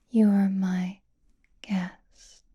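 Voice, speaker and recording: Female vampire played by a woman, ASMR roleplay. Very breathy whisper, unhurried, teasing, possessive, quietly commanding. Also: mid-range voice, flat delivery, highs cut off. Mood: coy, conspiratorial. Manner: slow, coy, intimate, commanding.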